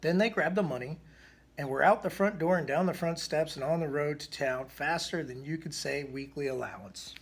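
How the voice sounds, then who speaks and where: A man reading a children's picture book aloud in an expressive way, with the odd stumble. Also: fairly high pitch, moderate pace. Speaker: storybook reader.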